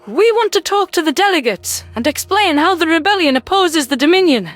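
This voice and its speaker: A man's voice: extremely high pitch, animated, brisk, fairly bright tone, no room sound, soft background music, voice pushed up. A man voicing a small, earnest alien rebel in a raised voice. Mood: pleading.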